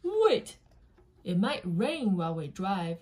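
A woman voicing an excitable, fussy elephant who loves planning, in a deliberate, emphatic picture-book read-aloud. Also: medium pitch. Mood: bossy, planning. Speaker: parent reading aloud with their child. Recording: noisy home recording with clicks.

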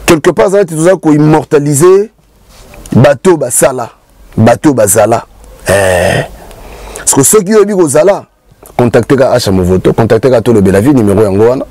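A man in his thirties hosting a casual talk in a small indoor studio, speaking in a relaxed, halting way with many fillers. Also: medium pitch, no room sound. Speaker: host.

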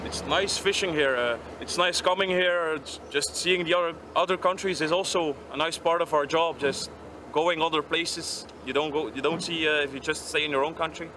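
A Belgian fisherman gives relaxed interview remarks in a slightly high male voice. The outdoor recording has clear harbour and vehicle noise behind him. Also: normal speed. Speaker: trawler fisherman.

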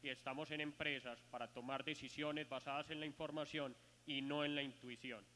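A man's voice: a little high, steady tone, brisk, sharp tone. A male moderator summing up a talk and asking the speaker a question, emphatic and repeating key words. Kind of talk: moderating a conference Q&A. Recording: reverberant hall, faint hum.

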